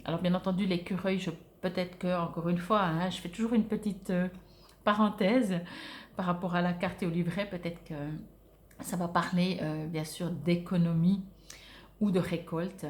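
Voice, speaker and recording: A woman's voice: on the low side, fairly even intonation, normal speed. Woman giving a conversational, hesitant card reading. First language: French. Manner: hesitant, searching for words, conversational. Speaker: oracle card reader. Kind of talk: informal spiritual card reading to viewers.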